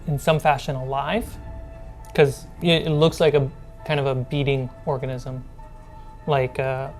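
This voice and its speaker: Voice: mid-range voice, flat delivery, unhurried. Game master narrating over music. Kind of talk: improvised tabletop game narration. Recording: music playing behind the voice.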